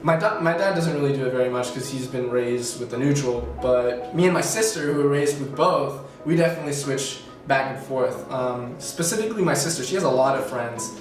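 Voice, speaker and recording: A man's voice: mid-range voice, fairly quick, few pauses. A young man from Colombia answering interview questions in a casual, rambling way, with many fillers and self-corrections. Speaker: student interviewee. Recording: echoey room from far off, music behind.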